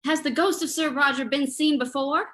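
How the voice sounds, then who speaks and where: A woman's voice: sits high, steady tone, normal speed, fairly bright tone. A woman playing a detective's nervous but plucky female companion in a radio drama reading. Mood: curious, uneasy.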